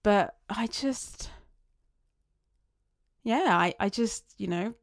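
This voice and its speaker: A woman talking through her thoughts in a chatty, rambling monologue that wanders onto tangents, her voice getting slightly raspy. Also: medium pitch, extremely slow, no echo, studio quality. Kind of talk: casual reflective monologue.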